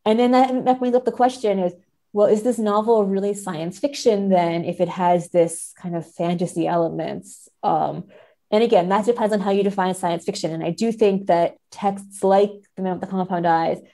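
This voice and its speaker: A woman's voice: on the low side, fairly even intonation, normal speed, dark tone. A woman, a podcast guest, talking analytically in an explanatory way and often checking in with "right?". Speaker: podcast guest, literary scholar.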